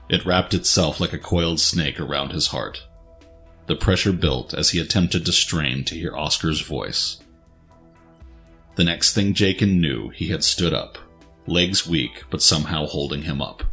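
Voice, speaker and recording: A man's voice: very deep voice, fairly bright tone. A man narrating a scripted dramatic story as the narrator of an audio drama.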